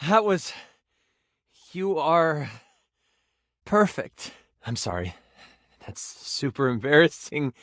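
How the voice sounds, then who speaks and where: An earnest, nerdy game master in a video game. The voice is fairly high and lively, theatrical in delivery, with a dry, close sound. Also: male voice, slow, pauses often, no echo, full character voice. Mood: excited, shy. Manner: theatrical, earnest, awkwardly gushing. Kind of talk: dramatic tabletop game narration and chat.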